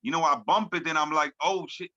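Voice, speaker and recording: Man, laid-back slangy talk, sharp bright tone, dry muffled call audio. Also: fairly high pitch, fairly even intonation, fairly quick, no echo. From born in France, grew up in Lebanon. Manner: laid-back slangy talk, many "you know what I'm saying" fillers. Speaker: guest hip hop artist. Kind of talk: casual video call interview. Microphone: video call. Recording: dull lo-fi video call audio.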